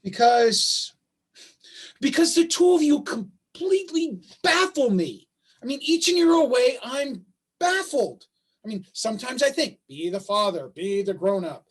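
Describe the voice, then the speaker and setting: A man acting in a play reading as a baffled, conciliatory father, speaking in a halting, earnest, self-deprecating way. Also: very high voice, slightly rough, full character voice. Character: baffled, conciliatory working-class father of a gifted son. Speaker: stage actor in a play reading. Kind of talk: staged play reading, naturalistic dialogue. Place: remote video call.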